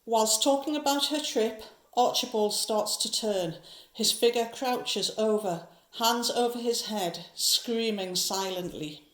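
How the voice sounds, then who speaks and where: A woman's voice: on the high side, slow, fairly bright tone, reverberant. A woman narrating a horror audio drama from a script, describing each scene.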